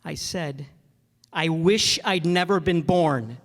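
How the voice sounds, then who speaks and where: A man playing a despairing, skeptical small-town family man in a radio drama, with halting, folksy, repetitive speech. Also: on the high side. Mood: bitter, despairing. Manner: halting, stammering drawl, folksy, repeats himself. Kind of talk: old-time radio drama acting. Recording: echoey stage hall, long reverb.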